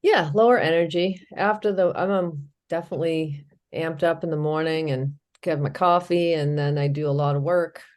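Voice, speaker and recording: A woman's voice: low-pitched, normal speed, few pauses, a little mellow. A middle-aged woman co-hosting a casual podcast, talking thoughtfully and listing ideas with many fillers. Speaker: podcast co-host.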